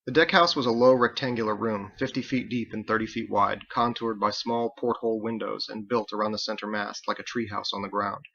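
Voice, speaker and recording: A man's voice: fairly low pitch, fairly even intonation, brisk, creaky, few pauses, sharp tone, breathy edge, lo-fi sound. A man reading his own book as scripted audiobook narration.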